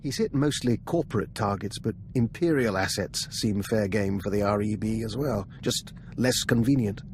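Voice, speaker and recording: A man playing a polite, inquisitive intelligence analyst in a video game, formal, measured and subtly suspicious. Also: on the low side, slight background noise, close to an everyday voice. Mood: matter-of-fact. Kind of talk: video game dialogue acting.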